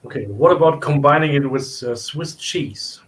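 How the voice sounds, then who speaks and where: A man's voice: mid-range voice, normal speed, slight background noise. A man with a German accent hosting a casual tasting, searching for his English words as he goes. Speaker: tasting host and shop owner.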